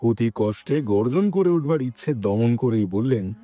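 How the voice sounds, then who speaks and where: A man's voice: medium pitch, animated, normal speed, warm tone, soft background music. A man narrating a scripted dramatic story as the narrator of an audio production.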